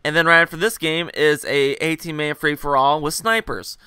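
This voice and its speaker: A young man with a very bright, crisp, fairly high voice recorded dry and close. He rambles through a story over gameplay with jokes and fillers, sounding hungover. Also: fairly even intonation, moderate pace, no room sound. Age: young adult. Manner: rambling, joking, full of fillers and asides. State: hungover, voice a bit rougher and lower than usual. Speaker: gaming video host.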